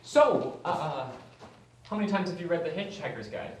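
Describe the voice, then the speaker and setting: A man's voice: a little high, animated, moderate pace, natural voice. A young man playing an awkward, bookish aspiring writer, stammering nervously and eagerly in a web series. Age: young adult. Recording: echoey room with noisy ambience.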